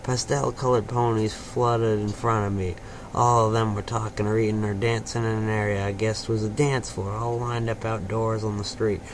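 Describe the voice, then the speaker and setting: A man's voice: lowish voice, little pitch movement, normal speed. A man narrating a story aloud in an informal, amateur way, sometimes stumbling over words. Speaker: fan fiction reader. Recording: clearly noisy amateur home recording.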